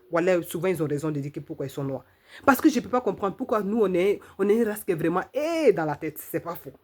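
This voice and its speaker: A woman hosting her channel, giving an emphatic, repetitive opinion monologue full of rhetorical questions and drawn-out "mhm"s. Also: medium pitch, a little mellow. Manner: fills pauses with drawn-out "mhm". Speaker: channel host.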